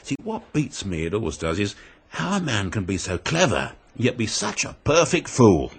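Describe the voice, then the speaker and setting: British investigator for radio mystery: dry, clipped, scornful, husky, with animated swings of pitch. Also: male voice, moderate pace, exaggerated voice. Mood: musing, scornful. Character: matter-of-fact male investigator, wryly scornful of criminals. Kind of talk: British radio drama acting.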